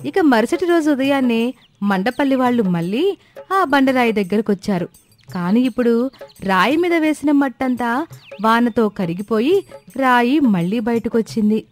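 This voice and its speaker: A woman's voice: fairly high pitch, animated, normal speed. A woman reading a scripted moral story as its narrator. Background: music.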